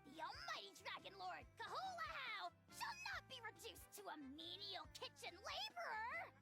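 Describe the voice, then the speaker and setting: Bratty little dragon tyrant, piercingly high, wildly swooping, pompous, bombastic game creature. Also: female voice, big pitch swings, normal speed, vocal fry, over loud music, exaggerated voice. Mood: indignant. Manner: grandiose, bombastic. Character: tiny, pompous, bratty self-proclaimed almighty dragon lord. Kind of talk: video game voice acting.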